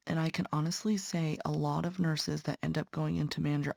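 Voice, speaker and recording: Chatty congested young woman, gravelly. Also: deep voice, darkish tone, no room sound. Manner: chatty, earnest, addresses viewers. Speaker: patient care tech and nursing student. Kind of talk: casual chat while stitching.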